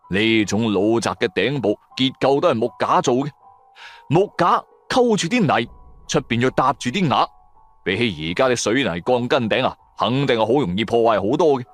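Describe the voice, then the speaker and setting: A man with a Cantonese accent narrating a suspenseful adventure story in the first person, with theatrical, emphatic delivery. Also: mid-range voice. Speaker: audiobook narrator.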